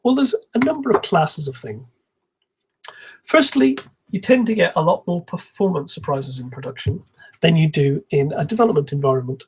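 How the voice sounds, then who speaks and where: Husky, higher-pitched man giving a tech talk. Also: low-quality recording. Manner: calm, measured explaining. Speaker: conference speaker, software engineer. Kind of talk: technical conference talk, explanatory.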